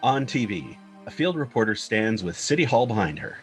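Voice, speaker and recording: Low-voiced man narrating over guitar music. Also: big pitch swings, normal speed. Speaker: narrator reading stage directions. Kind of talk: scripted screenplay narration, clipped phrases. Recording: guitar music bed under the voice.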